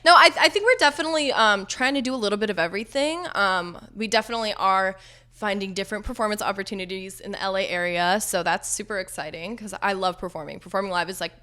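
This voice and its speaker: Young female singer-songwriter guest, low husky-edged voice with a bright ring, chatty and giggly. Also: fast talker. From Los Angeles area. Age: young adult. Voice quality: low speaking voice, slightly raspy. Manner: giggly, lots of "like", quotes herself in asides. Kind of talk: casual interview chat.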